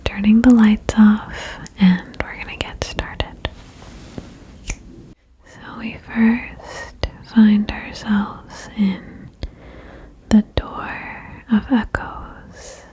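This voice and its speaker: A woman whispering a guided ASMR meditation in a breathy, soothing way, with gentle instructions and long pauses. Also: medium pitch, little pitch movement, low-quality recording. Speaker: ASMR host guiding a relaxation game.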